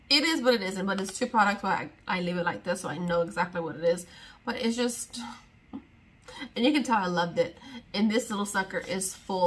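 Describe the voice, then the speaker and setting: A woman's voice: medium pitch, a bit bright. Rambling, chatty woman vlogger. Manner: rambling, chatty, exasperated about packaging. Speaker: beauty vlogger. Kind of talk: casual sit-down chat to camera.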